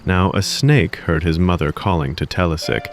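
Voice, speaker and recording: A man narrating a children's fairy tale in an expressive, scripted storybook style. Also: low-pitched, moderate pace, soft background music.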